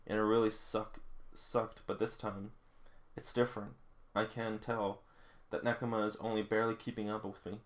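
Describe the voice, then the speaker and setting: A man's voice: fairly low pitch, close to an everyday voice. A man reading the part of an eager, determined teenage boy volleyball player from a manga, earnest and intense.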